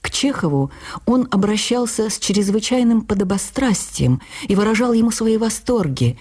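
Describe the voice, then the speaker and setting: An actress playing an earnest, wounded woman writer, narrating her memoir for radio in an expressive, confiding, deliberate manner. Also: mid-range voice, slightly rough. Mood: reflective. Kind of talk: dramatized first-person literary narration for radio.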